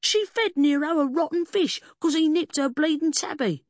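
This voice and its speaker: A woman's voice: high-pitched, lively intonation, normal speed, no echo, studio quality, voice pushed up. A woman voicing a thin, aggrieved English man in an audiobook story, squealing and breathless with agitation. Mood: squealing indignation. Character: thin, squealing, excitable aggrieved male dog owner.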